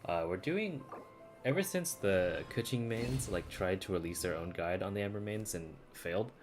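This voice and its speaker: A man's voice: on the low side, expressive, moderate pace, faint music behind. A man streaming a video game and chatting casually in slangy, informal language. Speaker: live streamer. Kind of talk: casual gaming stream chat.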